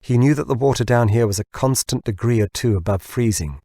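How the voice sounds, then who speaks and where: A man's voice: medium pitch, a little fast, warm tone, no room sound, clean studio sound. A man narrating scripted fiction as an audiobook reader.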